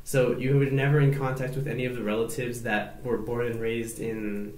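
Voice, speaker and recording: A man hosts an interview, cueing his guest with steady "mm-hmm"s and asking questions that wind around before landing. His voice is mid-pitched, and the room around him sounds echoey. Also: normal speed. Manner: frequent "mm-hmm" backchannels, rambling questions. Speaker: host. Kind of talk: interview hosting.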